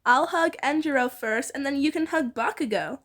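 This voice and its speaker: Woman as perky, high-voiced bossy teenage girl. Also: normal speed, a bit bright. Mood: teasing.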